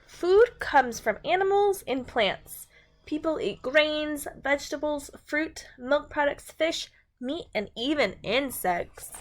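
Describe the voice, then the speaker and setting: A woman's voice: sits high, animated, takes it slowly, faint music behind. A woman reading a picture book aloud to young children and chatting to them in simple, encouraging language.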